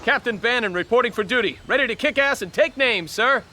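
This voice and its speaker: A brash young American captain with a high-pitched voice. He is cocky and overeager, a character in a war game. Clear noise surrounds him. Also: lively intonation, fairly quick. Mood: brash, eager. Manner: brash, eager.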